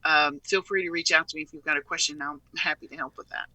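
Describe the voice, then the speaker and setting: A woman speaks as an interview guest in a strikingly bright, forward voice. She is earnest and conversational, repeating phrases for emphasis. The recording is close and dry. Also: low-pitched, steady tone, fast talker, dry recording, poor audio. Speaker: interview guest, software company CEO.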